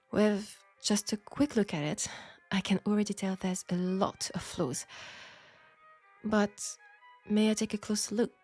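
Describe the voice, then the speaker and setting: A woman's voice: mid-range voice, steady tone, measured pace, darkish tone, soft background music, natural voice. A woman with a French accent playing a polite, formal, self-assured seamstress in a steampunk audio roleplay drama. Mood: discreet, formal. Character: proud, outspoken, formal young seamstress in steampunk Paris.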